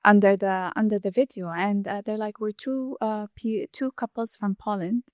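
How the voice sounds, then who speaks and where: A woman's voice: medium pitch, fairly even intonation, moderate pace, darkish tone, no room sound. Earnest Saudi woman chef, dry sound. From Saudi Arabia. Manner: earnest, explains at length with frequent restarts. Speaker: guest, chef and food blogger. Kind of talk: interview conversation.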